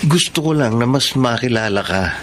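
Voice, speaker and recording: Man playing eager suitor, radio drama, really animated coaxing voice, faint noise. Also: medium pitch, moderate pace, natural voice. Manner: coaxing, earnest. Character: Art, eager persistent male suitor. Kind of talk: Tagalog radio drama acting. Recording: faint noise and scene ambience.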